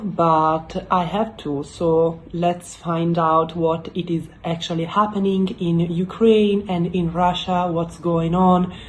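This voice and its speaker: Slow, low-voiced Italian woman host. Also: darkish tone, a little hiss or hum. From Italy. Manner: earnest, halting, with many fillers. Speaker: YouTube channel host. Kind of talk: casual explainer talk to camera.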